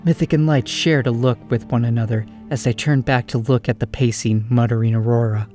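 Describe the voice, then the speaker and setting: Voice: medium pitch, fairly even intonation, brisk, warm tone. A narrator reading scripted storybook prose for an audio drama, over music.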